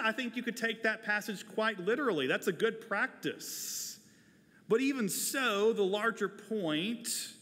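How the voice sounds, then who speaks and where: A man's voice: high voice, bright, forceful tone. An American man in his forties, a pastor delivering a sermon from a church pulpit. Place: large church sanctuary, at a wooden pulpit. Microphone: lapel mic. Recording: reverberant church hall.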